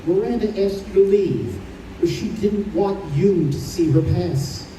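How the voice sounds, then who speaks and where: A very mellow male voice, an actor playing a calm, knowing spirit who escorts the departed. The radio play delivery comes through with room echo and some distance from the mic. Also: high voice, unhurried. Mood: calm, solemn. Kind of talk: old-time radio play acting. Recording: roomy live stage recording, distant, faint noise.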